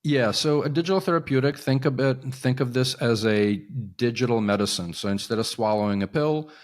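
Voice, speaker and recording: A man's voice: medium pitch, brisk. A middle-aged man from Ukraine, raised around New York, who explains things at ease as a guest on a podcast. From Ukraine, raised in New York and New Jersey. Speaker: guest, company co-founder. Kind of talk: conversational interview.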